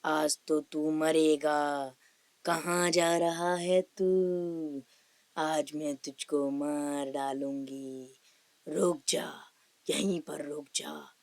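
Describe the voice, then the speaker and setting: A woman voicing a menacing three-headed forest witch ghost in a cartoon story, with threatening, drawn-out taunts. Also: sits low, steady tone, a little mellow, no echo, exaggerated voice.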